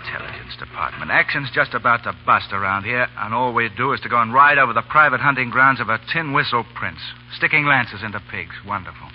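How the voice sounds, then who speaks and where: An actor playing a brash, impatient army lieutenant, blunt and sarcastic, in a 1930s radio drama. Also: male voice, medium pitch, expressive, fast, hardly any pauses, a little hiss or hum, close to an everyday voice. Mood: grumbling. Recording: dull 1930s radio recording.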